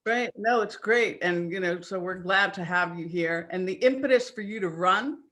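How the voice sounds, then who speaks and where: Bright, high-voiced male host. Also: normal speed. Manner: upbeat, thanks sponsors, chatty fillers. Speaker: host of business webinar series. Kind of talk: hosting a live webinar.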